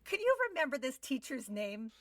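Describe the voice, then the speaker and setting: A woman's voice: high-pitched, big pitch swings, normal speed, a bit bright, clean studio sound. A middle-aged woman co-hosting a casual interview chat, recorded at a distance in a room. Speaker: podcast co-host. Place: home, on a remote call. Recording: roomy, distant from the mic.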